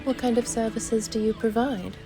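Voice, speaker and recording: A woman voicing a calm, supportive adventurer in an amateur voice-over of fantasy game dialogue, in a plain manner. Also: a little high, normal speed, natural voice. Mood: curious. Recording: loud game music behind.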